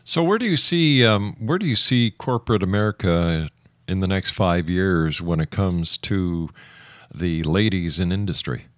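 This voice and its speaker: A man hosting a radio interview with a measured, announcer-like delivery. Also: deep voice, animated, fairly bright tone, dry recording. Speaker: radio show host.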